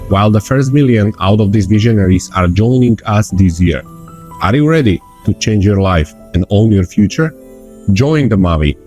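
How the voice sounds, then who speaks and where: Low-voiced man giving an emphatic sales pitch. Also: a little mellow. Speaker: company spokesman. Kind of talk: promotional speech.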